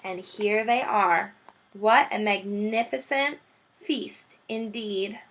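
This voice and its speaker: A woman teacher reading a children's book aloud, expressive and stopping to point out the pictures. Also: medium pitch, slow, a little hiss or hum, low-quality recording. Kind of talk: children's read-aloud storytelling.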